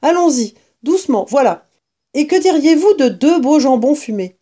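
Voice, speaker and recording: A woman's voice: sits high, animated, normal speed, warm tone, voice pushed up. A woman voicing a jovial, scheming fox father who relishes a stolen feast, exuberant and commanding. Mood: greedy delight.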